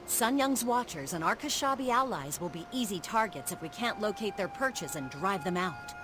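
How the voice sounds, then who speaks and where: A woman's voice: mid-range voice, animated, moderate pace, noisy background, natural voice. An actress playing a confident, teasing female military commander in a video game, wry and poised. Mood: grave, coaxing. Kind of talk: video game character acting. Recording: game music behind.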